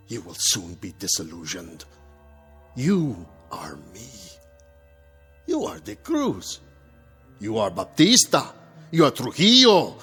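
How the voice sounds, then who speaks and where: An actor playing a deposed old dictator in a radio drama, defiant and scornful, speaking rhetorically in a gravelly, husky voice. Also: male voice, mid-range voice, fairly bright tone, full character voice. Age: older. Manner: theatrical, rhetorical. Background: faint music.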